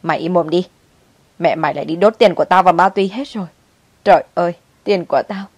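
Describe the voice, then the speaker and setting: An embittered old grandmother, acted out by a woman storyteller, scolds and wails in outrage. Her lines come out as loud, harsh complaints in a dramatic audio story. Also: sits low, fast talker, no room sound. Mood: wailing, furious. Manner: scolding, shouting, wailing. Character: angry old grandmother, bitter, wailing over stolen money.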